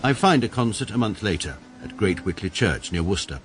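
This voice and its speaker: An actor in his forties playing a pompous, precise barrister who narrates in a dry, deadpan, wry manner. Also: sits low, fairly quick. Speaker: first-person narrator and lead character.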